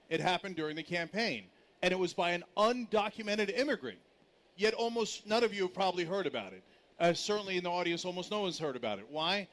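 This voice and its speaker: A man's voice: high voice, fairly bright tone. A man moderating a live panel discussion at a conference, speaking in an emphatic, argumentative way. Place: conference stage.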